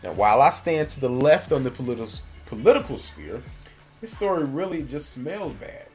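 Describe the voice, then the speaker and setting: A man's voice: medium pitch, big pitch swings, normal speed, darkish tone, soft background music. A man presenting news commentary as a radio host, reading from prepared notes and adding his own opinion. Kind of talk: news commentary, partly scripted.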